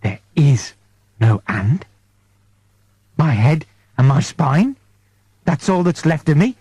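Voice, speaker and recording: A man performs a confused, alarmed man just out of sedation in a comic audiobook. He gropes for words and questions incredulously, his pitch jumping about in a close, dry recording. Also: big pitch swings, slow, darkish tone, slightly rough, no echo, exaggerated voice.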